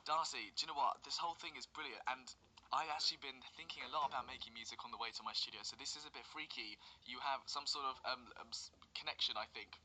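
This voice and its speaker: A male radio DJ with a very bright, sharp-edged tone, speaking at an ordinary middle pitch. He responds to listeners' messages warmly and encouragingly. His delivery is chatty and unhurried, with frequent pauses on um. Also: a little hiss or hum, poor audio.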